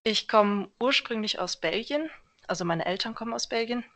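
A woman's voice: a little high, normal speed. Young woman guest, chatty and easygoing, talks it through with fillers. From Germany. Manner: relaxed, thoughtful, fillers like "also" and "genau". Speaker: podcast guest, civil engineer. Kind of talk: casual interview answers.

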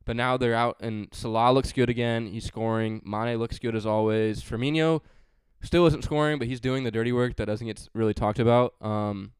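A man's voice: mid-range voice, steady tone, moderate pace, few pauses, smooth, no echo. A man hosting a casual sports podcast, chatty and joking, with frequent fillers. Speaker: podcast host.

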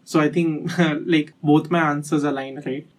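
A young man in a casual discussion who reflects out loud, weighing each point and filling pauses with "like". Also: a little high, steady tone. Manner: reflective, thinks aloud with many "like" fillers. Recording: voice a bit distant from the mic.

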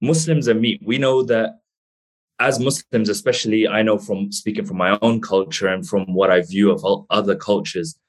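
A man hosting an interview, talking in a relaxed, conversational way and using Islamic phrases. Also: fairly low pitch, moderate pace. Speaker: host. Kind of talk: casual interview.

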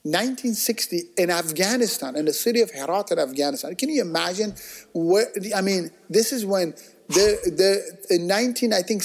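A man in casual conversation, telling stories in an animated, discursive way, full of restarts and acted-out quotes. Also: sits high.

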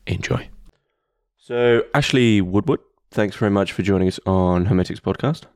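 A man hosting a podcast interview in a casual way, often pausing with fillers and restarting his sentences. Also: on the low side, no room sound, studio quality. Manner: hesitant, many fillers and restarts. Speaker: podcast host.

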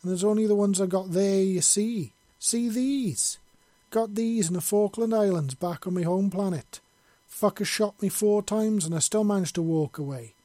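A man's voice: a little high, normal speed, mellow, no room sound, close to an everyday voice. A man voicing an older Scottish ex-soldier with a Scottish accent, blustering and swearing defiantly in a storybook reading. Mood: indignant. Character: older Scottish male ex-soldier, brash and profane.

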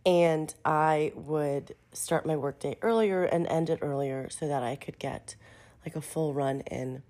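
Stuffy woman in her 30s rambling thru a voice memo, low voice, lots of ums. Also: darkish tone. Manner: chatty, self-deprecating, many fillers. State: stuffy from a cold. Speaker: contributor sending a voice memo. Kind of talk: casual voice memo.

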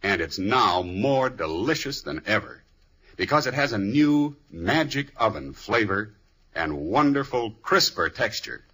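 Low, bright, animated male radio pitchman. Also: measured pace, slightly rough. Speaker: commercial announcer. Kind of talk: 1950s radio sponsor announcement.

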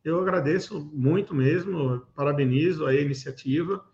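A man in his forties from Pernambuco, Brazil, a guest speaking in a casual interview, giving thoughtful advice with many fillers and pauses. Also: a little high, a little mellow, a little hiss or hum. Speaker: guest, security event organizer. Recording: roomy, dull lo-fi sound.

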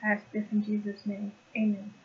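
A co-host leading a spoken prayer in an earnest, measured way. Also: mid-range voice, monotone. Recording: thin phone-like call audio.

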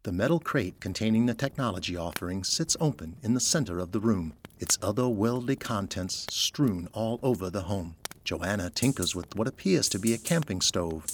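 A man's voice: lowish voice, animated, moderate pace, few pauses, a little hiss or hum. A man narrating an audio drama from a script, in present-tense storytelling. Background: music.

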